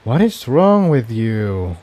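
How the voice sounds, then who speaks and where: A man's voice: medium pitch, big pitch swings, slow pace, warm tone, no room sound. A man voicing a grumbling male unicorn tinkerer in a storybook reading. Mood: exasperated. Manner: dry, grumbling.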